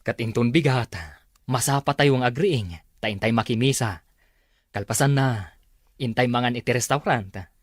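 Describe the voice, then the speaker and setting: A man's voice: medium pitch, moderate pace, no echo, studio quality, lightly acted. An actor playing a kind, encouraging middle-aged father in a radio drama.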